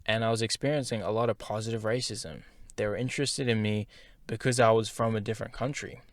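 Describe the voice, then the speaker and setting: A man's voice: on the low side, steady tone, quick pace, dry recording, clean studio sound. A young man telling his own story in a casual, candid monologue, with slang and filler words. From half Japanese, schooled in Singapore.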